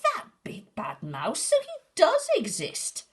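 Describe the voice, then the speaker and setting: A woman voicing a bold, curious young female gruffalo child with high, excited exclamations in a storybook reading. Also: slow pace, exaggerated voice. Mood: defiant.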